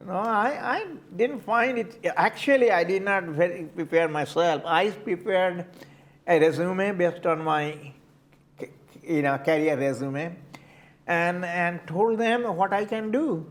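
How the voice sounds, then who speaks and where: An older man with an Indian accent, answering interview questions in a halting way, often repeating words as he searches for them. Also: sits high. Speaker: community board candidate.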